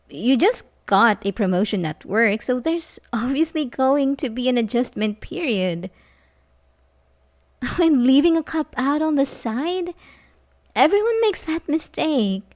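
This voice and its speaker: A woman's voice: a little high, a little mellow. A woman playing an obsessive, manipulative young babysitter who feigns sympathy, in an intimate, hesitant ASMR roleplay style. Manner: hesitant, stammering, nervous chuckles, sighs. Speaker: audio roleplay performer.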